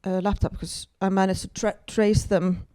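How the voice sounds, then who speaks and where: A woman's voice: medium pitch, flat delivery, normal speed, warm tone, clean tone, no echo, studio quality. A woman with an Icelandic accent, a guest comedian telling wry, self-deprecating stories in casual podcast chat, with many fillers.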